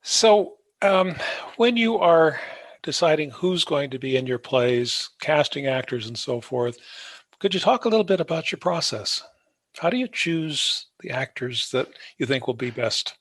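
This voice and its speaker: A man's voice: on the high side, animated, unhurried, a bit bright, dry recording. A man interviewing a guest in a conversational way, recapping answers and putting questions as a podcast host.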